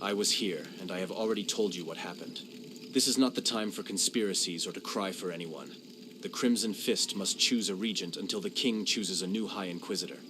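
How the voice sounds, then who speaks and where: Guy doing stern curt army commander, very low voice, noisy ambience behind. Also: fairly quick, natural voice. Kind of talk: scripted video game cutscene acting.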